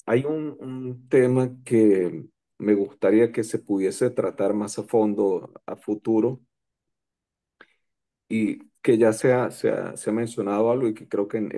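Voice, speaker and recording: Man, forties, gravelly and low, slow and hesitant, conference remarks. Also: mellow. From Colombia, raised in Venezuela. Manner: hesitant, many restarts. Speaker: conference participant. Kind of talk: slow, halting reflective remarks.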